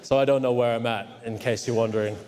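A man's voice: medium pitch, fairly even intonation, quick pace, a little mellow. A man from Hong Kong preaching a sermon emphatically, teasing his congregation at times. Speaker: pastor. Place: church. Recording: long hall reverb.

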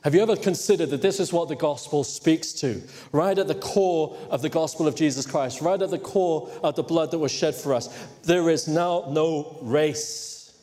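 A man's voice: a little high, normal speed, hardly any pauses, mellow. A man from Hong Kong preaching a sermon emphatically, teasing his congregation at times. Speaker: pastor. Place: church. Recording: long hall reverb.

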